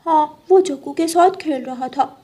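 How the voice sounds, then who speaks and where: A woman's voice: sits high, a little slow, dark tone, pitched above the performer's own voice. A woman voicing a helpful young boy pigeon in a cartoon story, childlike and polite.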